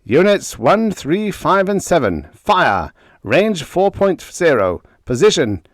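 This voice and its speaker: A man's voice: a little high, very animated, slow pace, dry recording, clean studio sound, voice pushed up. A man voicing an eager, dutiful junior officer, reporting in a clipped, earnest way in an audiobook story. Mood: triumphant, urgent. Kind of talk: dramatised audiobook character dialogue.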